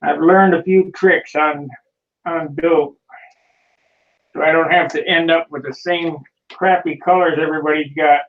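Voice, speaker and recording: A man with a somewhat high voice chats casually on a video call. He sits away from the microphone, so his voice comes through distant and dull, lo-fi. He talks as he handles his gear. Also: fairly even intonation, a little slow. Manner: chatty, fumbles with a can while talking. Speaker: hobbyist showing his paint.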